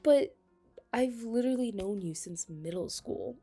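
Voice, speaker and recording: A young woman playing a flustered, affectionate best friend with a secret crush in an intimate ASMR roleplay, speaking hesitantly and haltingly. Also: on the high side, expressive, dark tone, husky, faint music behind, exaggerated voice. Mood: flustered, surprised. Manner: hesitant, halting, breaking into nervous laughs. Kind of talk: ASMR romantic roleplay, intimate conversational acting.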